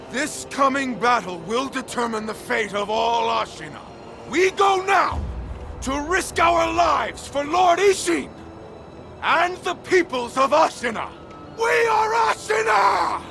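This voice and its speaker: Fierce game warlord, high-strained shouting, slow declamation, gravelly, proud, loud music behind. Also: male voice, exaggerated voice. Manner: declamatory, booming, defiant. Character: huge, fierce, proud veteran samurai general, bellowing war cries. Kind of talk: dramatic video game voice acting.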